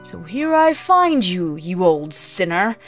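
A woman voicing a stern male huntsman in a storybook reading, firm and deliberate. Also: lowish voice, big pitch swings, soft background music, heavily acted.

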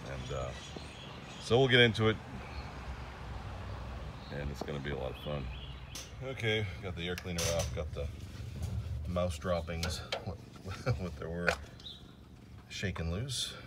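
A man's voice: low voice, very noisy. A man casually narrating a walkaround of an old car outdoors, rambling with fillers and restarts. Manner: rambling, chatty, pauses with fillers. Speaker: classic car vlogger.

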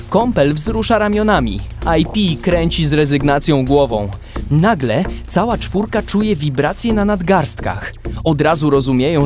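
A man narrating a scripted radio drama as storyteller. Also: a little high.

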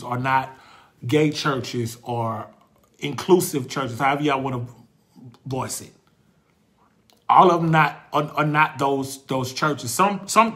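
A fervent man in his forties, his voice pitched a little high and ringing bright. He speaks like a preacher, hammering his points home through repetition. When he turns reflective, he falters and restarts. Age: 40s. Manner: passionate, preacherly, repeats phrases for emphasis. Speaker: host. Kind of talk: faith talk.

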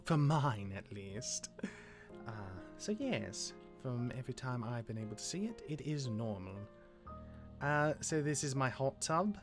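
A man playing a sardonic, awkward immortal demigod older brother, sarcastic and halting, in a fantasy game roleplay. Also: medium pitch, lively intonation, music in the background, lightly acted. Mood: awkward, kindly. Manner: sarcastic, halting when flustered.

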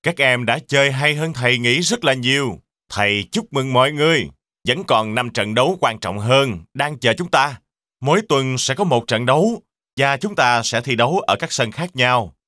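A man's voice: medium pitch, animated, normal speed, bright, forceful tone, dry recording, clean studio sound. A man voicing an encouraging zebra sports coach in a cartoon, instructive and emphatic. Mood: proud.

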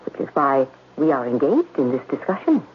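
A woman's voice: a bass voice, very animated, normal speed. An actress playing a stern, haughty woman who speaks formally and condescendingly in a 1970s radio drama. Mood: cool, menacing. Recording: dull, noisy vintage radio recording.

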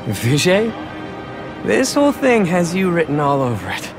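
A man's voice: a little high, wildly swooping pitch, a little slow, heavily acted. A man playing an affectionate, bemused young student in a video game, fondly wondering aloud. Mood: touched. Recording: loud music over the voice. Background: loud game music.

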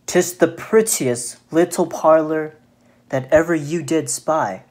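A woman voicing a sly, flattering male spider for a children's storybook, with slow, honeyed, drawn-out coaxing. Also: deep voice. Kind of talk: children's storybook read aloud.

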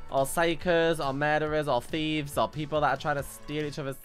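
A woman's voice: low voice, flat delivery, brisk, lightly acted. A woman playing a house-proud, caring young mother in an improvised video game family roleplay. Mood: happy, relieved. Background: music.

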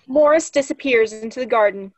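A woman's voice: a little high, fairly quick, a little mellow, no room sound. A young woman reading stage directions as scripted narration for a play. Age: young adult. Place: small room at home, video call.

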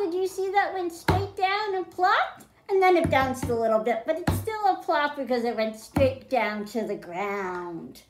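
A middle-aged woman with a slightly high voice leads a storytime for young children. She goes slowly, in simple and deliberate phrases. The indoor room around her adds a clear echo. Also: noisy background. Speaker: children's librarian, storytime host. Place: small library room with bookshelves, indoors. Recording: roomy, echoing indoor sound.

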